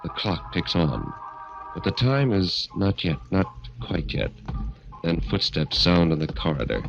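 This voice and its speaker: Deep-voiced ominous male horror host over music. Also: lively intonation, measured pace, lo-fi sound. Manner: ominous, theatrical. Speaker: radio drama host and narrator. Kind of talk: 1940s radio horror narration.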